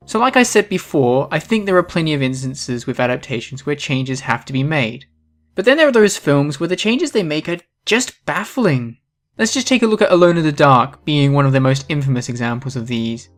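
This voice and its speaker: A video essay host giving scripted, opinionated commentary with an emphatic delivery. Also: medium pitch.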